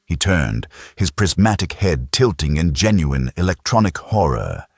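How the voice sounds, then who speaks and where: An extremely deep male narrator reads dramatic science fiction with grave suspense. His husky voice moves expressively up and down, recorded close and dry. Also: very animated, unhurried, dry recording. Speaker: audiobook narrator. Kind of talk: dramatic scripted sci-fi narration.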